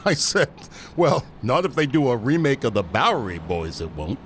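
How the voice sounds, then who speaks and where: An animated actor as a male theater director, blunt and wry, wisecracking through his exasperation in a documentary-style interview. His pitch jumps around for emphasis, over a faint rumble. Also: a little slow, lightly acted. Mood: exasperated, wry.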